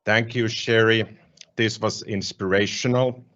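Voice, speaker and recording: Brief: man moderator, lowish voice, measured and friendly, dull call audio. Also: fairly even intonation, fairly bright tone. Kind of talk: panel moderation. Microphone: video call. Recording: muffled video-call audio.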